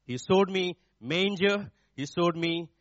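A man in his forties, a native Nepali speaker with a Nepali accent, preaching in a halting, searching way as a guest speaker at a church. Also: sits high, dry recording. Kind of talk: sermon. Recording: dull, dry sound.

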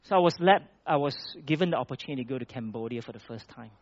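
A man's voice: medium pitch, animated, racing, dry recording, highs cut off. A man from Malaysia preaching a sermon, reading scripture with rising emphasis and then talking plainly with small restarts.

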